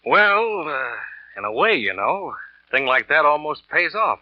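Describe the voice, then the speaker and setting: An actor playing a cynical, smooth-lying American salesman and narrator in a 1940s radio thriller, cool and offhand in manner. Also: a little high, big pitch swings, unhurried, slight background noise. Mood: boastful, offhand. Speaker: lead actor and first-person narrator. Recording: dull vintage radio recording with music.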